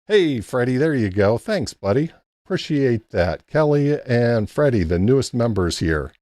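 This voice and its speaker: A man's voice: medium pitch, sing-song, a little slow, a little mellow, no echo. A man hosting a casual late-night ham radio live stream, rambling easily and thanking chat members by name.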